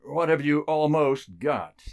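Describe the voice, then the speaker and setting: An actor playing a brusque, skeptical military colonel in a radio drama, probing in short clipped phrases. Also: male voice, fairly high pitch, lively intonation, slow pace, gravelly, smooth, exaggerated voice. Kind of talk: stage-style radio drama acting.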